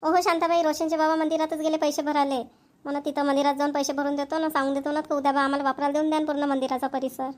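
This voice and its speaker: Woman acting brisk, high-voiced village mother. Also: flat delivery, natural voice. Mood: hurried, businesslike. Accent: Vidarbha Marathi. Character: busy, brisk middle-aged village mother. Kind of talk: amateur cartoon voice acting.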